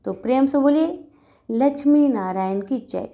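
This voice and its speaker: A woman's voice: high-pitched, lively intonation, a little slow, warm tone. A woman narrating a scripted devotional story as a storyteller.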